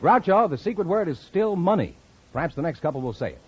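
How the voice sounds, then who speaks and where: High, animated American radio announcer, husky edge. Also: male voice, fast. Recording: muffled 1950 radio recording with audience.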